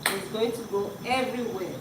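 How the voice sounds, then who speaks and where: A woman's voice: mid-range voice, normal speed. A woman whose first language is Yoruba, hosting a live cooking stream and talking casually while she cooks in her home kitchen. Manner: chatty, repeats words, talks while cooking. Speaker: cooking show host. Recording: echoey kitchen with loud noise.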